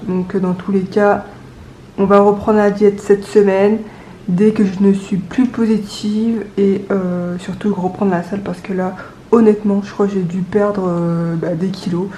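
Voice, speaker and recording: A young adult woman with a cold and a stuffy nose, chatting casually to camera, with many hesitant pauses. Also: mid-range voice, little pitch movement, mellow, roomy sound, slight background noise, poor audio. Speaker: budgeting vlogger.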